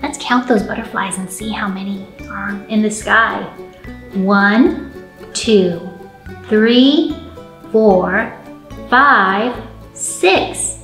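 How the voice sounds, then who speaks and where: Lady librarian doing storytime for little kids, slow and animated, echoey room. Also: medium pitch, faint music behind. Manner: animated, encouraging, addressing young children. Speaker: children's librarian and storytime host. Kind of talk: children's storytime read-aloud.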